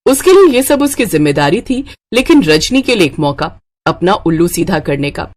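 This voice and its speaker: A woman's voice: fairly low pitch, big pitch swings, normal speed, a little mellow. A woman narrator reading a scripted moral story.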